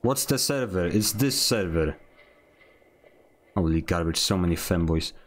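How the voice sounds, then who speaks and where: A young man from Poland streaming a game, chatting casually and joking with his viewers in quick rambling asides. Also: low voice, lively intonation. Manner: rambling, jokey, fast asides to chat. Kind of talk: casual gaming stream chat.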